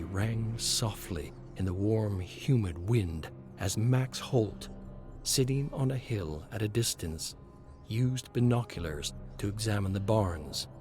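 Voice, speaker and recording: A man reading scripted story narration in a measured, deliberate way. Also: on the low side.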